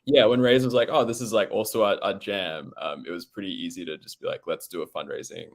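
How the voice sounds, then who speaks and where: An Australian man hosting an online founder session, relaxed and upbeat, with a lot of "um"s. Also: on the low side, fast talker, slight background noise. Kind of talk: casual live-stream chat.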